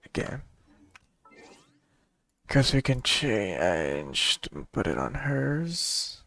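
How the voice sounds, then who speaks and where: A man's voice: medium pitch, sing-song, very slow, creaky, pushed and bright, soft background music. A young man giving casual, slangy gameplay commentary in a laid-back way. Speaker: game streamer.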